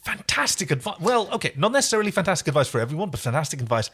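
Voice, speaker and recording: A man's voice: fairly high pitch, sing-song, racing, a bit bright, husky. A man co-hosting a podcast, chatting casually as he recaps a TV episode. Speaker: podcast co-host.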